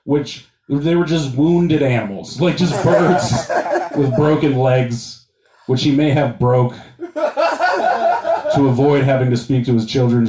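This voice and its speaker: A man from Montana doing a crude, self-mocking stand-up set, rambling with fillers. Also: medium pitch. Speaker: stand-up comedian. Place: comedy club stage. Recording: roomy club sound, taken from a distance, audience laughter.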